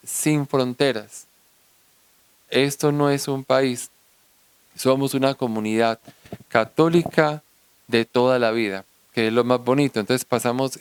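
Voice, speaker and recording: A man's voice: mid-range voice, clear, resonant tone, no echo. A man preaching a sermon as a priest, quoting scripture with rising emphasis.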